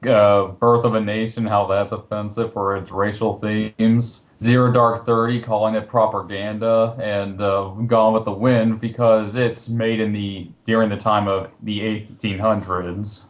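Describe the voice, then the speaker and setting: A man's voice: on the low side, flat delivery, unhurried, few pauses, dull audio. A man chatting casually in an online voice call, explaining things in a slightly hesitant, rambling way. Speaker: participant in a recorded online voice chat. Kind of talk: casual chat, recounting a list. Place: recorded Skype call.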